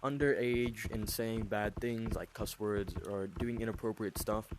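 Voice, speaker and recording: A thirteen-year-old boy with an unusually deep voice, hosting a casual interview with fillers and long rambling questions. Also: steady tone, a little slow, background noise. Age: 13, teenage boy. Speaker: host interviewing players.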